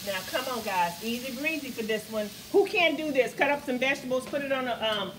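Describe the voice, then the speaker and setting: A chatty middle-aged woman hosts a casual home cooking demo, her voice sitting a touch high. She keeps turning to viewers with "guys" and "okay?". The sound comes from a distance across a large, echoey kitchen, with a noisy background. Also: brisk. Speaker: cooking show host. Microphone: camera mic across the kitchen.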